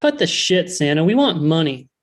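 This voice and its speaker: A man's voice: a little high, sing-song, normal speed. A man playing a blunt, dismissive, jaded character in a comedic table read.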